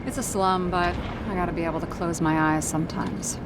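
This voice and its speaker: A woman's voice: fairly low pitch, steady tone, a little fast, background noise, close to an everyday voice. An actress playing a tough, guarded young woman from the slums in a noir video game, speaking with a dry, determined manner. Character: tough, guarded slum-born young woman, vengeful liaison. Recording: loud city and vehicle ambience.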